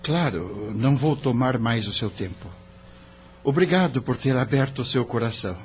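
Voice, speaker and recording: Man acting an earnest young Brazilian lawyer, expressive. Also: medium pitch, moderate pace, slight background noise, close to an everyday voice. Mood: gentle, probing. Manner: polite, earnest, hesitant. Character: young, nervous, idealistic novice lawyer, gentle and honest. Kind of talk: Brazilian audio drama acting. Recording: dull recording with faint music bed.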